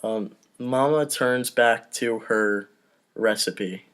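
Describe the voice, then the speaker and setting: A man's voice: lowish voice, slow pace, a bit bright, smooth. A man casually reading out script stage directions for a comedy table read, with informal off-the-cuff asides.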